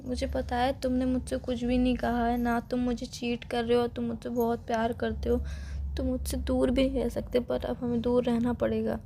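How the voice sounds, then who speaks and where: A woman's voice: on the high side, completely flat delivery, moderate pace, vocal fry, warm tone. A young woman acting as a distraught, resigned girlfriend in a phone-call drama, sorrowfully insistent, on a noisy recording. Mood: sad, resigned. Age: young adult.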